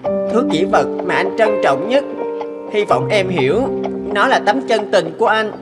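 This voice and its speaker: Lady doing a young cartoon boy, sincere and expressive, music playing behind. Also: medium pitch, measured pace, lightly acted. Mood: tender. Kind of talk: cartoon dubbing. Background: instrumental music.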